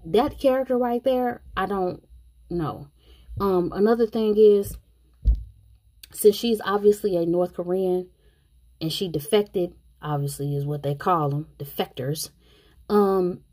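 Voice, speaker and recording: A woman giving casual, frank commentary on a TV show, thinking out loud as she goes. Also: mid-range voice, measured pace, long pauses. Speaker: show reviewer.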